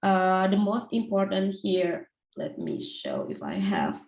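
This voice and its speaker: A woman's voice: lowish voice, huge pitch swings, darkish tone, reverberant. A woman in her thirties from Indonesia, a company founder giving an informal talk and often restarting her phrases. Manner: halting, restarts phrases, fillers like "like" and "you know". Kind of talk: informal presentation.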